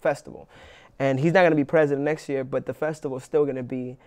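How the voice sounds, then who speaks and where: A young man, an actor being interviewed, talking casually with lots of restarts and fillers. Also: mid-range voice. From New York, Inwood. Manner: relaxed, halting with many restarts and fillers.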